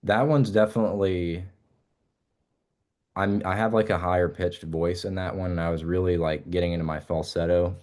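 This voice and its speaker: A man's voice: low-pitched, fairly even intonation, normal speed, a little mellow. A young man, a musician guest, chatting casually in an interview and rambling with many fillers and restarts.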